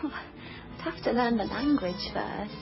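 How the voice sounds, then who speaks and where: A woman's voice: on the high side, expressive, unhurried. An actress playing a naive, dreamy, chatty young woman in a radio drama, wry and melodramatic, by turns narrating and flustered. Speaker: lead character and narrator. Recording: dull lo-fi radio sound under music.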